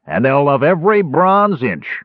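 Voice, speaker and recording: A man plays a coarse, menacing dwarf from a fantasy audiobook. He jeers and bullies in a husky voice with big swings of pitch, heard through a narrow, tinny band. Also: very animated, normal speed, no echo. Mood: leering, gleeful. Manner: cackling, bullying, coarse. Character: gruff, jeering, menacing old-style dwarf taskmaster.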